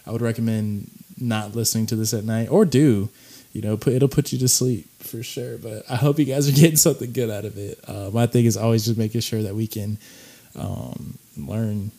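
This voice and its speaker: A man hosting a podcast, talking casually about clips in a raspy voice, rambling with plenty of fillers. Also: fairly low pitch, fairly even intonation, moderate pace, mellow. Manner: laid-back, rambling with many fillers. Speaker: podcast host.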